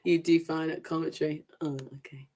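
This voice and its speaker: A woman's voice: low-pitched, little pitch movement, a little fast, warm tone. A young woman from Manchester who sounds posh, hosting a casual football results show and reeling off scores with upbeat enthusiasm. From Manchester, England. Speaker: host of a women's football review show. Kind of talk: casual livestream results roundup.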